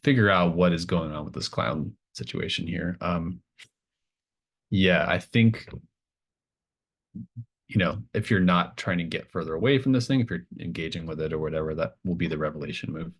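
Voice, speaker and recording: A man with a low-pitched voice acts as game master at a tabletop session. He narrates off the cuff and casually, in halting phrases that he builds as he goes.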